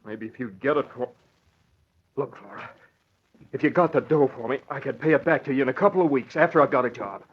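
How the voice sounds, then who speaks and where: A man's voice: mid-range voice, a little fast, breathy edge, close to an everyday voice. A man playing a shabby, desperate, weak-willed husband in a 1940s radio drama, whining and agitated in slangy working-class talk. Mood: pleading. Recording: dull old radio broadcast recording.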